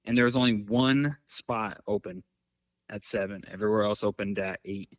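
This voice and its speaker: A man's voice: fairly low pitch, normal speed, no echo. A man chatting casually as a podcast guest, telling loose stories full of fillers. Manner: rambling, filler-heavy storytelling. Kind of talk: casual chat. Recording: dull, dry lo-fi sound.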